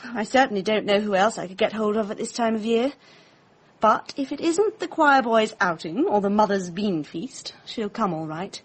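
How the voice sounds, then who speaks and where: A woman's voice: medium pitch, expressive, normal speed, husky. An actress playing a flippant, breezy young upper-class Englishwoman with a British accent, wry and offhand, in a radio drama. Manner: wry, offhand, drawling society slang.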